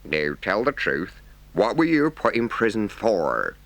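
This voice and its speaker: A man's voice: on the low side, sing-song, normal speed, fairly bright tone, dry recording. A man voicing a kindly but stern working-class engine driver in a storybook, folksy and emphatic. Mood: stern, grave. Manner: plain-spoken, emphatic, folksy. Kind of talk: storybook character voice.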